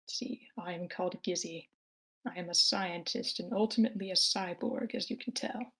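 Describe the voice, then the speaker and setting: Woman reading calm, wordy cyborg scientist. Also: on the low side, moderate pace, vocal fry. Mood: calm, matter-of-fact. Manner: wordy, matter-of-fact. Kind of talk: live screenplay table read.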